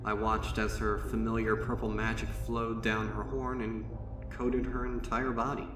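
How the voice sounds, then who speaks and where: A man reading a horror story as a dramatic narrator, grave and deliberate, with pauses for effect. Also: on the low side, level tone. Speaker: fan fiction narrator. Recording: roomy, distant voice over music. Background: music.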